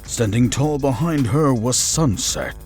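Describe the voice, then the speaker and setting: A man reading scripted story narration for an animated fan series. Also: medium pitch, a little slow, soft background music.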